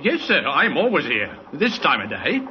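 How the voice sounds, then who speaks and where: High, swooping old van driver. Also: male voice, huge pitch swings, moderate pace. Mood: deferential. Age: older. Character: decrepit old delivery van driver, polite and eager. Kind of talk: old-time radio crime drama acting. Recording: dull, noisy old radio recording.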